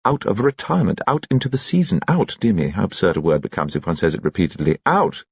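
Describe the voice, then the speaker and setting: A man's voice: low-pitched, very animated, gravelly, breathy edge, no echo, highs cut off, full character voice. A man voicing a spirited, teasing elderly society mother who talks emphatically. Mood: playful. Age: older. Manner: brisk, emphatic, playful.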